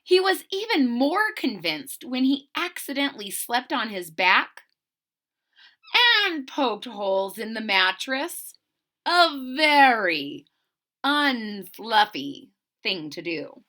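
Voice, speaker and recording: Animated, high-pitched woman reading to children. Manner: exaggerated, drawn-out emphasis on key words. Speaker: storybook read-aloud host. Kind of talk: expressive children's read-aloud with chatty asides.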